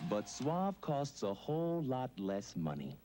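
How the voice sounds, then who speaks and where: A woman's voice: rumbling low, big pitch swings, a little slow, mellow, slight background noise. A woman reading scripted advertising narration as a commercial announcer, on an old recording.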